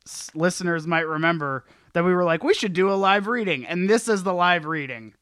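High, bright, clear-voiced man. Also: fairly quick, no room sound, studio quality. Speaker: podcast co-host. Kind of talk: casual comedic group chat.

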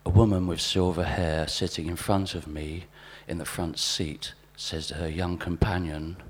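A man reading his observational pieces to a live audience in a wry, deadpan way. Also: low voice, normal speed, a little hiss or hum. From South East London, England. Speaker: writer and performer reading own pieces. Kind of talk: spoken-word reading of overheard snippets to a live audience.

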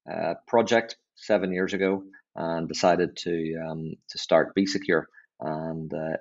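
A middle-aged Northern Irish man with a very low, creaky voice answers interview questions. He explains himself in a measured, conversational way, and the audio is a little dull and lo-fi. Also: darkish tone. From Northern Ireland. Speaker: chief executive, podcast guest.

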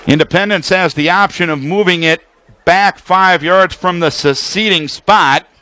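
A man with a high, bright voice works as a radio football announcer. He delivers live play-by-play in short, clipped phrases that lay out formations and action. His tone is forceful and projected, built for sports broadcasting. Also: a little slow. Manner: clipped descriptive phrases, excited calls on big plays.

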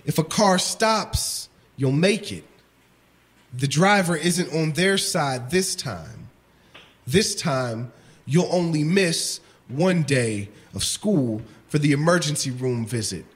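A man performing a spoken word poem in a slow, deliberate, measured delivery. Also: a little high, fairly bright tone. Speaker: poet. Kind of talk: spoken word poetry reading.

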